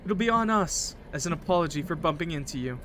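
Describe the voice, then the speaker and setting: Nervous young male attendant acted by a man, apologetic and helpful, raised pitch, faint noise behind. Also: expressive, fast, natural voice. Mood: eager, kind. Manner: hesitant, apologetic, soft-hearted. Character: shy, nervous, eager-to-please young male train attendant.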